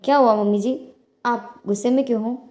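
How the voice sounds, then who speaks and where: Woman acting a sly, high-voiced young daughter-in-law. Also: fairly even intonation, a little fast, dark tone, close to an everyday voice. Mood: falsely innocent. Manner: crafty, feigning innocence. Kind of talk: moral storybook dialogue. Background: faint music.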